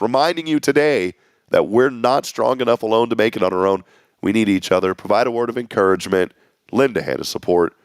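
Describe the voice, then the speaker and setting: A man hosting a local morning show, upbeat and folksy, his voice going hoarse. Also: lowish voice, no room sound, clean studio sound. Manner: folksy, upbeat community announcer. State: losing his voice. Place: small local TV studio.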